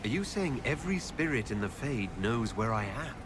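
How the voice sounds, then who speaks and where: A man playing a composed, curious elven leader in a fantasy game, asking questions in a measured, wry way. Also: mid-range voice, big pitch swings, lightly acted. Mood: puzzled. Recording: clear ambient noise behind voice.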